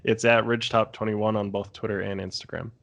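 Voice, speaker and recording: A man's voice: on the low side, level tone, brisk, gravelly, fairly bright tone. A man co-hosting a podcast, talking in a casual, informative way. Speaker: podcast co-host.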